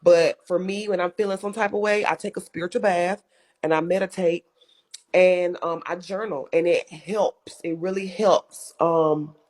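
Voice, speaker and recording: A woman's voice: lowish voice, fairly even intonation, normal speed, darkish tone. A woman with a strong voice giving earnest advice in a casual chat, repeating her points for emphasis. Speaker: guest in livestream chat.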